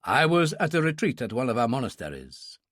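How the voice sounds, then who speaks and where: A man voicing a calm older male Chinese Shaolin monk and kung fu master who speaks in a slow, measured, formal way. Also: medium pitch, no room sound, clean studio sound.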